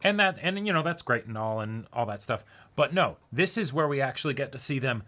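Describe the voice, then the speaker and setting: A man's voice: medium pitch, lively intonation, a little fast, pushed and bright. A man co-hosting a reaction show, chatting casually and enthusiastically with some swearing. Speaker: co-host of an anime reaction show. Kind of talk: casual discussion.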